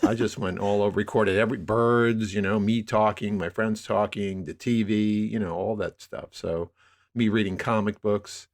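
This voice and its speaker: An older man being interviewed as a podcast guest, telling stories conversationally in a halting, rambling way. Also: fairly low pitch, steady tone, slight background noise. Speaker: podcast guest, media producer.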